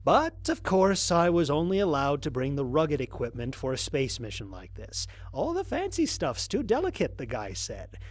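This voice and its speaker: A man's voice: a little high, dry recording. A man playing a weary human aerospace pilot recording a mission log for an audio drama, in a dry, grumbling, conversational way. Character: weary, wry male human aerospace pilot on an alien world.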